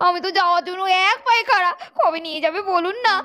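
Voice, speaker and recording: A woman narrating a doll cartoon and voicing the young women in it, brisk and expressive. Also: extremely high pitch. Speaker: narrator and voice of many dolls.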